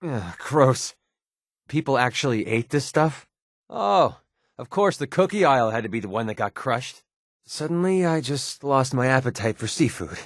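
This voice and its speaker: An actor playing a sardonic young American mercenary sniper in a video game, with a casual, wisecracking delivery. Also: male voice, mid-range voice, expressive, moderate pace, no room sound, studio quality, close to an everyday voice. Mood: sarcastic, joking. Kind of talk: video game companion voice lines.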